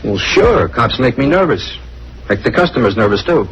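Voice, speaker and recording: An actor playing an uncooperative, sarcastic American bartender in a 1950s radio crime drama, slangy, wisecracking and exasperated. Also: male voice, medium pitch, sing-song, normal speed, muffled recording. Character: grumbling, sarcastic male cafe bartender, uncooperative. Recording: noisy old radio recording.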